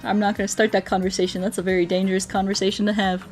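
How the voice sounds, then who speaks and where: A woman talks casually on a gaming livestream over faint music. Her voice sits at an average pitch for a woman. She rambles playfully and answers viewers out loud. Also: little pitch movement, racing.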